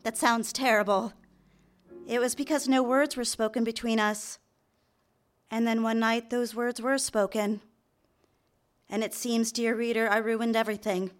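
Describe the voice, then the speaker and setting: An actress playing an eager, apologetic young secretary and secret mistress in a live radio drama, confiding and deferential. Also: female voice, a little high, flat delivery, a little slow, long pauses, natural voice. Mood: confessional, rueful. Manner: deferential, hesitant in dialogue, confiding in narration. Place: live on stage in a theater.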